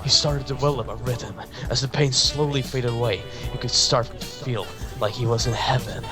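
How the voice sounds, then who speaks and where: A man's voice: mid-range voice, fairly even intonation, normal speed. A man giving a dramatic reading of fan fiction, sometimes stumbling and repeating words. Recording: music behind the voice. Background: music.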